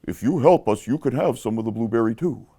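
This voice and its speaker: Storybook female bear male performer: friendly leader, lowered creaky voice, measured and inviting. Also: lively intonation, mellow. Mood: calm, inviting.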